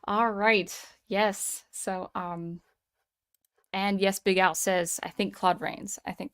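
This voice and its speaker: A woman's voice: medium pitch, steady tone, slow, fairly bright tone, clean tone. A woman hosting a casual live stream, chatting and thanking viewers by name, with a slightly strained voice. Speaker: livestream host.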